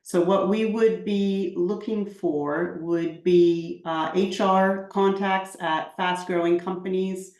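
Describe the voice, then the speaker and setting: A woman's voice: lowish voice, fairly even intonation, slow, hardly any pauses, darkish tone. A woman with a Washington DC accent, introducing her UK immigration advice work on a video call, chatty and enthusiastic with frequent ums. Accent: American, Washington DC. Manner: chatty, filler-heavy, enthusiastic. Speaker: UK immigration advisor. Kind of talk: webinar self-introduction. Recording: echoey room on video call.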